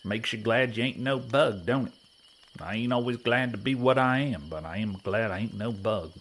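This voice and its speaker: A man's voice: mid-range voice, moderate pace, a bit bright. A man playing a dim-witted, good-natured cowboy prospector in a western audio comedy, with a rural American drawl and folksy bad grammar. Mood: folksy, musing.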